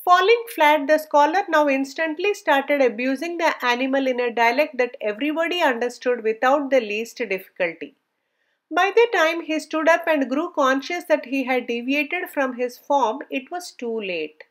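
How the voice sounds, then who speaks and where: A woman narrating a scripted story aloud in a measured, careful storybook manner. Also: high-pitched, lively intonation.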